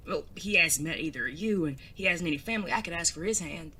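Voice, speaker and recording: A woman's voice: deep voice, fast talker, sharp tone, a little hiss or hum. A woman playing an anxious, earnest bandit son in an audio drama, speaking politely and formally with some hesitation.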